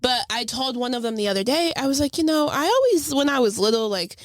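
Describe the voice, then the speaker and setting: A woman in her twenties, an esthetician, chatting upbeat and animated on a podcast. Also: sits high, fast talker, dry recording. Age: 20s. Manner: upbeat, animated when retelling stories. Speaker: esthetician and co-host. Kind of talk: casual chat.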